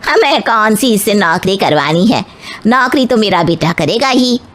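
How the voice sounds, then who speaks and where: A woman voicing a conservative, demanding middle-aged mother of a groom, probing and deliberate, in a moral story. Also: mid-range voice, animated, a bit bright, close to an everyday voice.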